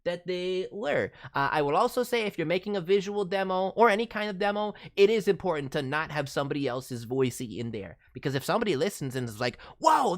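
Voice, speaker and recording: A man's voice: on the high side, fairly bright tone. A man voicing an eager, encouraging cartoon raccoon mascot who hosts with cutesy, playful wording in casual livestream commentary. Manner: cutesy playful word forms. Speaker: VTuber host reviewing voice demo reels.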